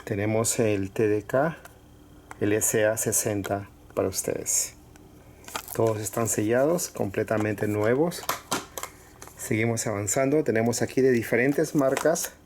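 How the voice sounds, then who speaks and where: A man casually presenting items to viewers in short, halting phrases with pauses. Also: fairly low pitch. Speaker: collector showing cassettes for sale. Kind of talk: casual product showcase. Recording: clear background noise with clicks.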